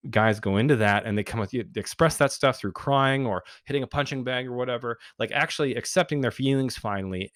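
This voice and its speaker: Deep-voiced earnest man, dry close sound. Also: dry recording. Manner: earnest, reflective, with frequent fillers. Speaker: podcast guest, men's group founder. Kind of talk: casual interview talk.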